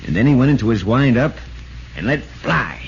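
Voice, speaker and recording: A man's voice: mid-range voice, sing-song, normal speed, vocal fry. An American man playing a sportswriter who narrates a ballgame in 1940s radio drama style. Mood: tense, suspenseful. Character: excitable male sportswriter recounting a ballgame. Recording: muffled, noisy 1940s radio recording.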